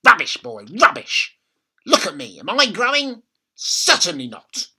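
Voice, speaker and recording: A man voicing a nasty, bossy old grandmother from a children's storybook, scolding and snapping with drawn-out emphasis. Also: high-pitched, huge pitch swings, pushed and bright, breathy edge, voice pushed up, full character voice. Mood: scornful.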